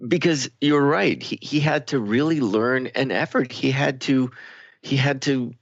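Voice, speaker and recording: A man's voice: medium pitch, unhurried, creaky, dry recording. A man co-hosting a radio show in earnest, reflective conversation, often restarting phrases for emphasis. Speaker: radio co-host. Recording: slightly muffled, lo-fi radio audio.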